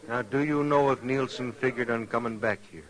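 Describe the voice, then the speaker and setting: A man's voice: mid-range voice, a little fast, natural voice, low-quality recording. An actor playing a grieving, stubborn cowboy in a radio western, brooding and folksy in his talk. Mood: resolute, sad. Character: grieving stubborn cowboy muleteer, man bent on vengeance. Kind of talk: old-time radio western acting.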